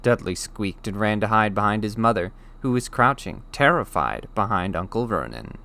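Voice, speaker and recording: A man reading a children's novel aloud as an audiobook, in expressive scripted narration. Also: fairly low pitch, moderate pace, gravelly. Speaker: audiobook reader.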